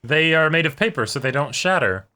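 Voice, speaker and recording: A man's voice: a little high, expressive, a little fast, sharp tone. A man co-hosting an anime reaction, giving short agreements and dry jokes. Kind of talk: casual reaction chat. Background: faint anime soundtrack music.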